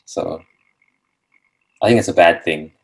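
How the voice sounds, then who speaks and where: Man from Indonesia, native Bahasa Indonesia speaker, interview host, short encouraging replies, very deep voice. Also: a little slow, vocal fry, breathy edge. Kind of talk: casual interview chat.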